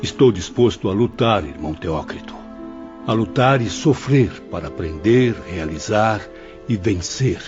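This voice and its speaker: An actor playing an earnest, repentant professor's spirit in a solemn, oratorical audio drama style. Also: male voice, on the low side, lively intonation, measured pace, dull audio, over music. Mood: resolute. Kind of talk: scripted audio drama acting.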